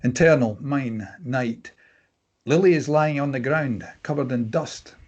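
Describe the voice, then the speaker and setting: Male narrator, raspy mid-range voice, reading screenplay action lines. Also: mid-range voice, unhurried, darkish tone, highs cut off. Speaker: stage-direction reader. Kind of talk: scripted narration at a table read.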